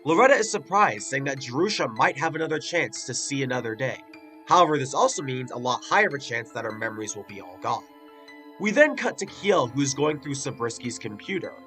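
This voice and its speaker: A man's voice: mid-range voice, very animated, a little fast, bright, forceful tone, soft background music. A man giving a casual spoken review with a stuffy, congested nose, chatty and full of filler words. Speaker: video reviewer.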